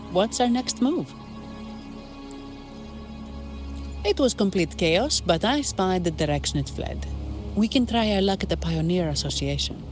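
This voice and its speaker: An actress playing a composed, dutiful bodyguard and guide in a video game, speaking in a measured, matter-of-fact, reserved way. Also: female voice, lowish voice, natural voice. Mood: focused. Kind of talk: video game story dialogue acting.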